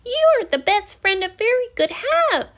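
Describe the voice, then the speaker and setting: A woman voicing a tiny, kind storybook fairy with a soft, musical, earnest way of speaking. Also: very high-pitched, big pitch swings, normal speed, pitched above the performer's own voice. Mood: grateful. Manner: gentle, earnest, storybook phrasing.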